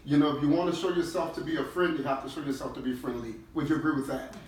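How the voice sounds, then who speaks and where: A man's voice: fairly high pitch, fairly even intonation, fast, a little mellow. A middle-aged man, a chief executive, giving an emphatic motivational talk to his staff, often checking in with short questions. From New Orleans, United States. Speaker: CEO leading a team-building session. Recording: echoey room, distant mic, faint noise.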